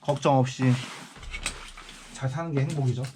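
A man's voice: medium pitch, steady tone, moderate pace, background noise. A man in his forties chatting casually while packing parcels for his small online shop, musing aloud and sighing now and then. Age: 40s. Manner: rambling, musing aloud, lots of sighing asides. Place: indoor work space packing parcels.

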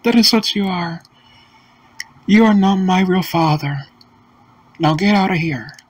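A synthetic text-to-speech voice playing a defiant, mocking young boy gamer in a cartoon, talking in a slow, stiff way. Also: medium pitch, no echo, lightly acted.

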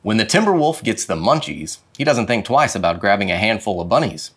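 Animated, low-voiced man reading a storybook. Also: a little fast, slightly rough. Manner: dramatic, animated phrasing. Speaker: storyteller reading a children's picture book. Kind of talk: expressive storybook read-aloud. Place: indoor studio with plain dark backdrop.

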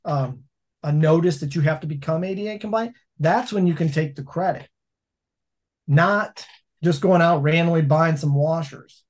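Man, 40s, storyteller, slightly high voice, video call, home office. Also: normal speed. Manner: storytelling, animated hand gestures. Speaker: laundromat owner and guest. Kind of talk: casual interview chat.

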